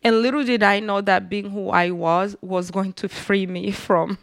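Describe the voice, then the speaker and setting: A woman's voice: mid-range voice, normal speed, no echo, studio quality. Earnest woman with a Rwandan accent. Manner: earnest, reflective, animated storytelling. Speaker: guest. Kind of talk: personal storytelling in an interview.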